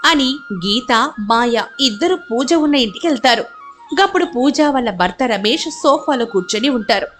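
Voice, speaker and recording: High-pitched woman storyteller. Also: animated, normal speed, over music. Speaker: story narrator. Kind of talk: scripted dramatic story narration.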